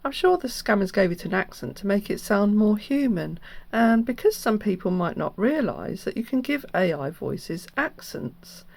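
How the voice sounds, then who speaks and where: A woman's voice: mid-range voice, big pitch swings, moderate pace, vocal fry, few pauses, a little mellow, slight background noise. A woman hosting and narrating in an earnest, instructive, explanatory way, as if giving safety advice. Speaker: host. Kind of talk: explanatory scam-awareness narration.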